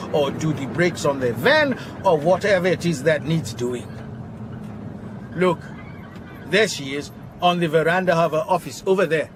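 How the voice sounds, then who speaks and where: A kindly, put-upon mechanic with a Southern African accent in a radio drama. His voice is slightly high, polite and mildly resigned. Clear vehicle noise runs behind it. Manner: polite, formal, gently complaining. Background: car and outdoor ambience.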